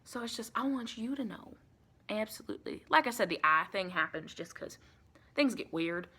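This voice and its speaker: A woman playing a friendly, folksy female vampire in a soft-spoken ASMR roleplay, chatty and reassuring in a rambling, colloquial way. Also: mid-range voice, animated, moderate pace, husky. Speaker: ASMR performer.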